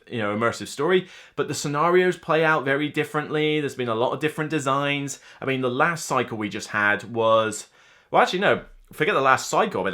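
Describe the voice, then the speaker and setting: A man's voice: a little high, fairly bright tone. A man in his thirties talking to camera as a board game reviewer, casual and enthusiastic, rambling with emphatic delivery. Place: indoor room lined with game shelves.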